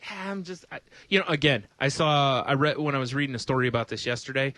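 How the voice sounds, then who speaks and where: A man's voice: mid-range voice, quick pace, bright, forceful tone, dry recording. A man co-hosting a podcast, ranting casually about the news with sarcastic, profane jokes. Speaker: podcast co-host.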